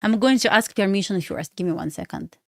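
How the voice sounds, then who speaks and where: A woman's voice: medium pitch, a little fast, creaky, no echo, studio quality. Chatty Belarus-born woman trading live. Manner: chatty, addresses viewers as guys, frequent uh fillers, non-native phrasing. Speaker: livestream host and day trader. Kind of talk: casual livestream chat with viewers.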